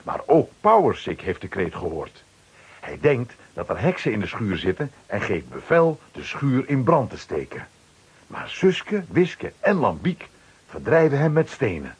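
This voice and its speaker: Male narrator for children's audio drama: breathy rough tone, extremely varied intonation. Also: mid-range voice, very animated, measured pace. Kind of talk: scripted storytelling for children's radio play.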